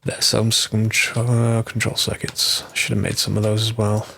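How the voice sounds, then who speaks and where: A man, slightly hoarse from a cold, giving a casual game tutorial and thinking aloud as he corrects himself. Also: lowish voice, completely flat delivery, moderate pace, sharp tone, dry recording.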